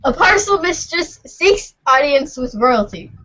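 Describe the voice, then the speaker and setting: A woman narrator with a fairly high voice reads aloud slowly. Her delivery is formal and slightly halting, like amateur readers' theater, and the recording is somewhat muffled. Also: sing-song, bright, forceful tone. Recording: muffled lo-fi audio.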